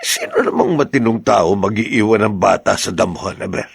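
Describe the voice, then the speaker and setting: A man acting a warm, decisive farmer husband in a Filipino radio drama, with a slightly husky, creaky voice. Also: lowish voice, huge pitch swings, normal speed, no room sound, studio quality, exaggerated voice. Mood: calm, decisive.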